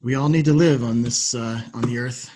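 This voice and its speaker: A man's voice: medium pitch, fairly even intonation, moderate pace, dark tone, slight background noise. A man hosting an interview podcast in a courteous, articulate way. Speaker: podcast host. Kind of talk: interview hosting with scripted sign-off.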